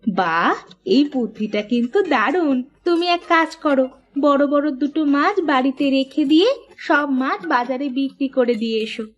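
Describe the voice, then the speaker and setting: Very high-pitched fussing village housewife played by a woman. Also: animated, normal speed, lo-fi sound. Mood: impressed. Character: caring, practical village housewife, mildly nagging. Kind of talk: cartoon story dialogue.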